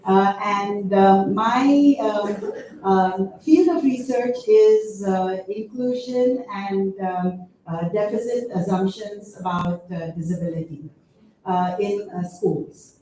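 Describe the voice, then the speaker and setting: A woman researcher in her fifties giving a conference presentation, halting with many fillers, a loud voice. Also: medium pitch. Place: conference room. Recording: echoey hall, voice far from mic.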